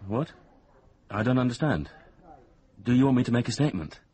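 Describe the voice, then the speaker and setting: A man's voice: mid-range voice, expressive, fairly quick, dark tone, husky, dry recording. An actor with a British accent playing an uneasy, evasive young man with a hesitant manner in a radio drama. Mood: uneasy, defensive.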